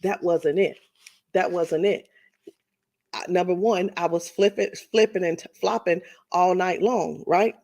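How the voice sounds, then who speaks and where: A woman's voice: on the low side, steady tone, a little slow, a little mellow. A woman in her fifties talking casually to her viewers, chatty and repetitive, often restarting her sentences. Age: 50s. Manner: chatty, repeats herself, addresses viewers as y'all. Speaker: vlogger. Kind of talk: casual testimonial monologue.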